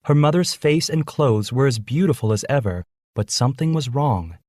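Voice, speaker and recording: A man's voice: medium pitch, expressive, normal speed, darkish tone, no room sound, clean studio sound. A man reading a scripted children's story as its narrator.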